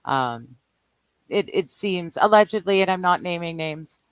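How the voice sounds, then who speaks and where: A guest on a Discord call with a mid-pitched voice, chatty and hedging. They laugh often and keep the talk loose. The line sounds dry and close. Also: expressive, a little slow, clean tone, no room sound. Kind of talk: casual online chat. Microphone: remote call over Discord.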